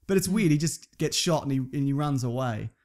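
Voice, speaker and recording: A man with an Australian accent giving a casual, slangy, animated comic recap of a story as a podcast host. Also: mid-range voice, fairly quick.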